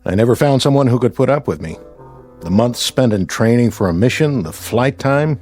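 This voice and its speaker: Lively, wry astronaut commander played by a man. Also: medium pitch, normal speed, soft background music, lightly acted. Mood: guarded, wry. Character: confident, wry, dedicated veteran male astronaut commander. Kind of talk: naturalistic radio drama acting.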